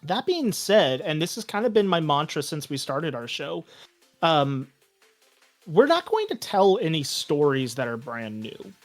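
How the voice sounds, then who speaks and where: A man with a slightly high voice talks casually as an interview guest. He explains his thinking in a reflective, conversational style, with faint music behind him. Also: sing-song, unhurried. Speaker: podcast guest, game master of his own show.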